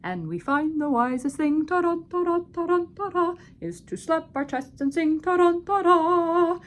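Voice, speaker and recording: Woman playing high, singsong timid policemen. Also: animated, a little slow. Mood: bouncy, nervous. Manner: singsong, bouncy comic-opera delivery. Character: timid, cowardly policemen singing about their reluctant duty.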